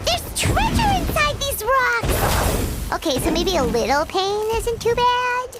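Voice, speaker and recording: Tiny excitable fairy sidekick, extremely high squeaky childlike voice, video game, loud music underneath. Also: female voice, measured pace, full character voice. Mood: excited. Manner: exclamatory, speaks of herself in third person.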